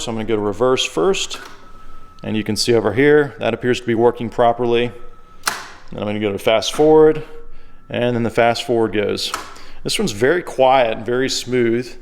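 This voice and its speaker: A man giving a casual, unscripted product demonstration, plain-spoken and easygoing, thinking aloud as he goes. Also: mid-range voice. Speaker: secondhand shop presenter.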